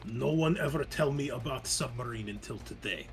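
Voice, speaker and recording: A man's voice: mid-range voice, normal speed, soft background music, lightly acted. A man voicing a frustrated, sardonic submarine crewman who speaks blunt broken English in a tabletop game. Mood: exasperated.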